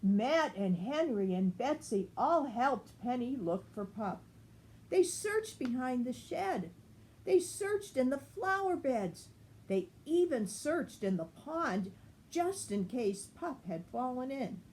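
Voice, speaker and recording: An older woman reading a children's story aloud in an expressive, grandmotherly style. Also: high-pitched, slow pace, a little hiss or hum, rough recording.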